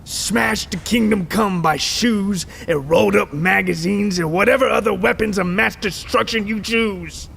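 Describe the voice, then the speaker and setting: A man's voice: high voice, a little slow, creaky, hardly any pauses, fairly bright tone, husky, pitched above the performer's own voice, exaggerated voice. A man voicing a menacing, aggrieved giant spider crime boss who makes threatening speeches in an audio story. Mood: bitter, aggrieved.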